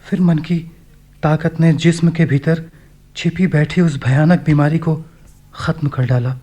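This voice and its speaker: Mellow, tender young man. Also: a little high, steady tone. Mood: tender, hopeful. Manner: tender, earnest, measured. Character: sincere young man who recovered, writing tenderly. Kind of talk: radio play letter reading.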